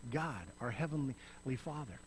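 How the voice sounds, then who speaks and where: A middle-aged man preaching on a church stage, swinging between loud emphasis and soft, hushed passages. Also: mid-range voice, animated, normal speed, a little hiss or hum. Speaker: pastor. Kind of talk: sermon. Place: church stage, in front of a lit backdrop.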